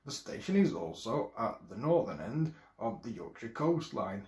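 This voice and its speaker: A man's voice: lowish voice, very animated, a little mellow. Man reading a history script aloud, echoey room. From England. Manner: careful, halting reading with occasional restarts. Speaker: video presenter. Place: small room at home, indoors.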